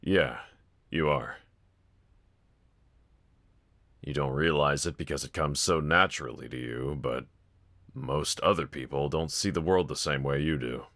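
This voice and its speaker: A man's voice: very deep voice, moderate pace, fairly bright tone. A man playing a brooding young villain roommate in an intimate audio roleplay, confiding hesitantly and wryly to one listener. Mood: tender, sincere. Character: brooding young male villain roommate, self-loathing but softly grateful.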